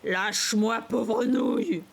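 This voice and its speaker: A woman acting a hoarse, menacing little sister. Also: on the high side, heavily acted. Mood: enraged. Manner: threatening, aggressive, slow emphatic menace. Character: menacing, spiteful young sister, bizarrely hoarse, sneering.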